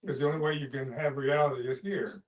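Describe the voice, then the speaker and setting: A man talking reflectively in a group, in plain words that come out haltingly. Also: mid-range voice, fairly even intonation, far from the mic, low-quality recording.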